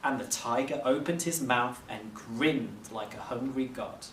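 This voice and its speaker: A man narrating a children's story expressively, like a teacher reading aloud, with measured dramatic phrasing. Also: mid-range voice, fairly bright tone. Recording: roomy, distant mic, faint noise.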